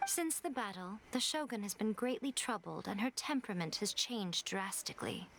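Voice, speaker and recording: A woman's voice: medium pitch, lively intonation, moderate pace, a bit bright, a little hiss or hum. A woman voicing a sly, elegant shrine priestess in a game, poised and playfully mocking. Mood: teasing. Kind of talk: game voice acting. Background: game music.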